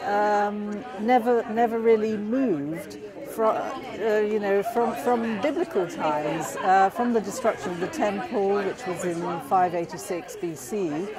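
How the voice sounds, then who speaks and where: A woman explains things slowly and carefully, pausing as she goes. She is being interviewed amid loud crowd chatter in a busy hall. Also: mid-range voice. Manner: hesitant, measured, explanatory. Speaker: interviewee explaining community history. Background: crowd at a party.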